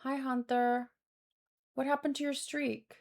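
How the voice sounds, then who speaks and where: A woman with a fairly high-pitched voice reads a story aloud. She voices the dialogue informally and expressively, slipping into offhand commentary as a read-along host would. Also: level tone, unhurried, vocal fry. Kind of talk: reading dialogue aloud with casual reaction commentary.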